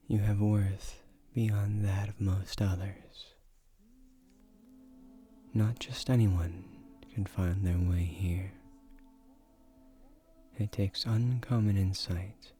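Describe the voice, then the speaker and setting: Man voicing a slow, low, tender ancient guardian spirit. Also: warm tone. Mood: earnest, comforting. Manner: formal, measured, soothing, seductive, often breathy near-whisper. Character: ancient male guardian spirit of a magic tree, tender, courtly suitor. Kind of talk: romantic scripted audio roleplay.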